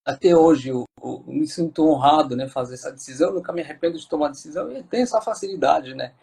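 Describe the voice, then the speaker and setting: A man's voice: on the high side, quick pace, vocal fry, darkish tone, husky. A Moroccan man speaking with a Moroccan accent, an interview guest talking casually with many fillers and restarts.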